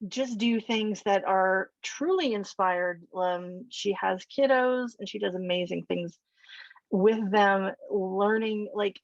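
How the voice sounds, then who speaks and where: A woman's voice: medium pitch, slow pace, no room sound. Effusive woman host, slightly dull sound. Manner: warm, effusive, rambling introductions. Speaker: show host. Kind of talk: casual interview hosting.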